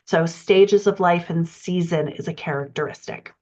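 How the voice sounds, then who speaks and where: Female instructor, slightly low voice, orderly step-by-step teaching delivery, somewhat dull recording. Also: darkish tone. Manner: measured, methodical, enumerates points. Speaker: writing coach. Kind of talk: instructional explanation.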